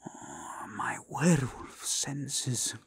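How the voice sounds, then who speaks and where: Man voicing a hushed, rasping, zealous werewolf cardinal. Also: mid-range voice, big pitch swings, pitched above the performer's own voice, heavily acted. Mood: solemn, zealous. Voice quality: breathy, hushed rasp. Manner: formal, deliberate, drawn-out phrasing. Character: solemn zealous werewolf cardinal, formal and abrasive. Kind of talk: ASMR roleplay acting.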